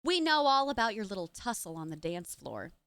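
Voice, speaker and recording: Girl reading out a peppy sidekick detective, bright voice, bouncy and playful. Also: mid-range voice, very animated, brisk, clean tone, dry recording. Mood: conspiratorial. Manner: reads dialogue aloud, playful dramatic.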